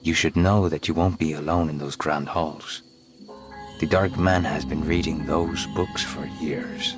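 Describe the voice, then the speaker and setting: An actor playing a grave, courteous and mysterious man in a video game, speaking in a measured, formal, ominous way. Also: very deep voice, steady tone. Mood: courteous, cautioning. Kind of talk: video game cutscene acting. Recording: background music behind the voice.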